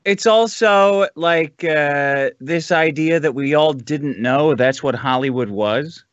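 A man's voice: a little high, takes it slowly, no room sound, highs cut off. A man appearing as a podcast guest, ranting casually and comedically in a crude, sardonic, profane way. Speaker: podcast guest, show host himself.

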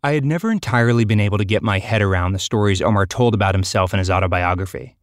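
Man, podcast host, scripted documentary narration, calm, lowish voice, faint music. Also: quick pace, no echo, clean studio sound.

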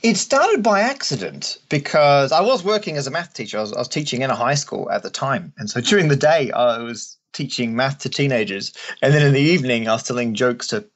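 A man's voice: mid-range voice, lively intonation, brisk. A man with an Australian accent giving conversational, wry and jokey answers as an interview guest. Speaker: guest, stand-up comedian and mathematician.

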